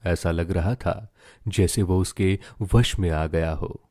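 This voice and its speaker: Man, dramatic story narration, lowish pitch, dark mellow tone, dry close sound. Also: slow pace, no echo.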